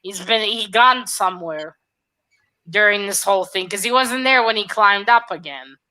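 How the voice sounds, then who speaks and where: A woman's voice: mid-range voice, normal speed, bright, forceful tone, poor audio. A woman in a casual book discussion, retelling the story emphatically and jumping in as co-host.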